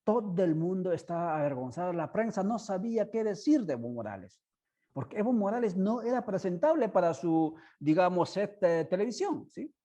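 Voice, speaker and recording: A man who speaks Spanish as a second language, hosting an online discussion in a halting, informal way, with many fillers and tag questions. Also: sits high, big pitch swings, warm tone. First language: not Spanish. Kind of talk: informal spoken commentary to an online audience. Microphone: headset on a video call.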